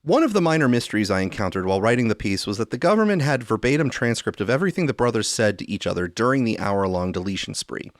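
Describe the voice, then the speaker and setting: Low-voiced male podcast host, animated delivery. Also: fast talker, few pauses, dry recording, studio quality. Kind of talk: podcast talk and reading aloud from news.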